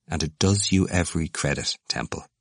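A man voicing a suave young male student in an audiobook, soft and mild, gently mocking. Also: on the low side, animated, measured pace, no room sound, clean studio sound. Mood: mild irony. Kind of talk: audiobook character voice.

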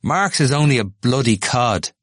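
A man voicing an excitable young male student with a bleating, indistinct voice. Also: medium pitch, fast, breathy edge, dry recording, studio quality, pitched above the performer's own voice. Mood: excited.

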